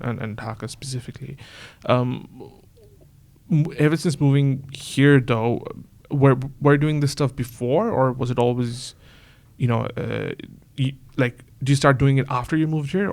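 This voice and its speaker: A man's voice: medium pitch, gravelly, darkish tone. A man hosting a casual interview, asking long, rambling questions full of fillers and restarts. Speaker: podcast host.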